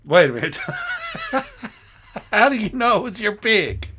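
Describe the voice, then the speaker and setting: A man putting on a folksy, incredulous news reporter in a comedy skit. The delivery swings up and down in pitch, teasing and skeptical, over a clearly noisy home recording. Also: wildly swooping pitch, takes it slowly, full character voice. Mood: incredulous, amused. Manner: teasing, skeptical, breaks into laughter. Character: female TV news reporter Mary McBigbottom, folksy, incredulous, jokey. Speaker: interviewer. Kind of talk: improvised mock news interview.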